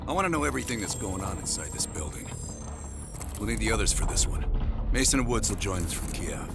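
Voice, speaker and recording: An actor playing a commanding, terse covert-operations leader in a cinematic military game. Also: male voice, fairly low pitch, very animated, fast talker, very noisy. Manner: authoritative, terse.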